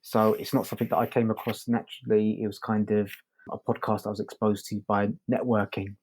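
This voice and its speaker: Low-voiced man chatting on a podcast. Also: flat delivery, brisk, darkish tone, breathy edge, poor audio. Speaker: podcast host and reviewer. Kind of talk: casual review chat.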